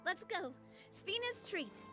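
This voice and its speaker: A woman's voice: extremely high pitch, very animated, takes it slowly, music in the background, full character voice. A woman voicing a cheerful, spirited young lady in an anime game, bubbly and rallying.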